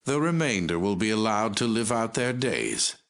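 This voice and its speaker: An actor playing a grandiose, menacing mutant overlord in a video game, pompous and commanding. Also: male voice, fairly low pitch, animated, normal speed, fairly bright tone. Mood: cold. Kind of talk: video game villain dialogue.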